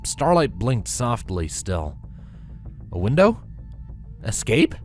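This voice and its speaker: A man narrating a scripted story with a dramatic, expressive delivery. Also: mid-range voice, takes it slowly, faint music behind.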